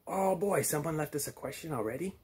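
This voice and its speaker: A man's voice: a little high, brisk, darkish tone, slightly rough, pitched above the performer's own voice. A man voicing a friendly, silly little monster puppet, eager and childlike, exclaiming and repeating himself in comic talk show banter. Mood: eager, excited.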